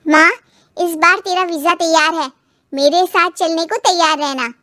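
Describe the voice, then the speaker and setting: A woman voicing a brisk, forthright sister-in-law in an animated moral story, pointed and matter-of-fact. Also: very high-pitched, dry recording, clean studio sound, full character voice. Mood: upbeat.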